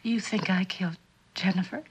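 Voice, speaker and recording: An actress playing a middle-aged, genteel, grieving widow in a mid-century suspense drama, speaking haltingly and gravely in a husky, breathy voice. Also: female voice, medium pitch, lo-fi sound. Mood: shocked, wounded. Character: haunted, grieving widowed mother, genteel and fearful.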